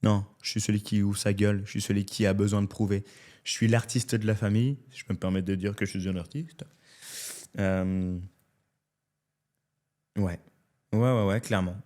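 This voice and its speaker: A French man in his thirties, a comedian, telling his life story in a casual interview in an animated, candid way. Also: on the low side, moderate pace, pauses often. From France. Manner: animated and candid, often adds "tu vois". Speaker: comedian guest.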